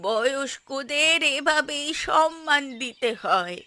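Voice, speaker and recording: High-pitched nagging cartoon housewife played by a woman. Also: lively intonation, takes it slowly, bright, forceful tone, full character voice. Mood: scolding. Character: scolding, sharp-tongued Bengali mother and housewife. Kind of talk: cartoon voice acting.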